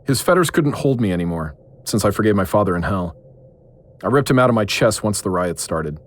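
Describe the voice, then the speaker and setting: Worn-out male occult investigator: gentle, sincere, very varied intonation, horror audio drama. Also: on the low side, lively intonation, a little fast. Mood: weary.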